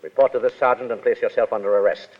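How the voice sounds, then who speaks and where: An actor playing a stern, menacing Foreign Legion adjutant in a 1930s radio drama, speaking in a clipped, formal, authoritarian way. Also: male voice, fairly low pitch, steady tone, racing, natural voice. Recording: muffled 1930s radio broadcast, hall reverb.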